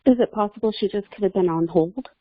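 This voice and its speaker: A woman's voice: mid-range voice, fast talker, no echo. Woman on a thin phone line. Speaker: podcast guest. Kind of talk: casual investigative discussion. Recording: thin, dry phone-like call audio.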